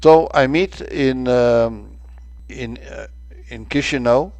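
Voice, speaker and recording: An older man from Romania presents a travel lecture over slides, speaking in a middle pitch. He talks haltingly, filling gaps with frequent hesitations and restarting his phrases. His voice is recorded close and dry, with no room sound. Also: darkish tone, dry recording.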